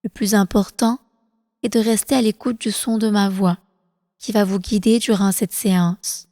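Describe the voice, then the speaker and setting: A woman leading a guided relaxation meditation as instructor, calm and soothing, with slow, deliberate phrasing. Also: medium pitch, dry recording, clean studio sound, big-room sound. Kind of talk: guided prenatal meditation, yoga nidra.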